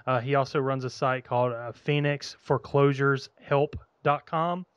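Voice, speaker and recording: A man's voice: medium pitch, fairly even intonation, normal speed, clear, resonant tone, dry recording, highs cut off. A man hosting a phone interview, upbeat and peppered with filler words. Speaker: podcast host.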